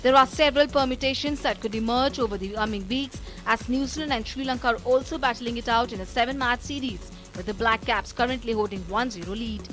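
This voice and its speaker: A woman's voice: fairly high pitch, fast, hardly any pauses, fairly bright tone, soft background music. A woman reading a scripted sports news report as a voice-over.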